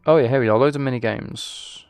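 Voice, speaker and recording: A man chats casually through his live gameplay. His voice is mid-pitched with a gravelly fry, recorded very dry, with faint game music underneath. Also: a little fast, a little mellow, no echo. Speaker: game streamer. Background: video game music.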